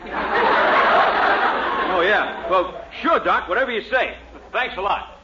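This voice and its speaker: An actor playing a gruff, wily football coach, raspy and blunt, in a 1940s radio sitcom. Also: male voice, high-pitched, lively intonation, takes it slowly, noisy background. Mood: hearty. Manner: blunt, forceful. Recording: muffled 1940s radio recording.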